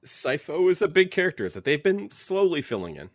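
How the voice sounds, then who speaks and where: A man's voice: on the high side, sing-song, fairly quick, no echo, studio quality. A man co-hosting a podcast in casual chat, wry and emphatic, repeating phrases for effect. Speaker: podcast co-host.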